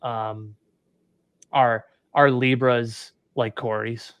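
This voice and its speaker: A man in his thirties hosting a casual podcast interview, relaxed and quick to laugh, with plenty of filler words. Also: medium pitch, clear, resonant tone, no echo. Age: 30s. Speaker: podcast host.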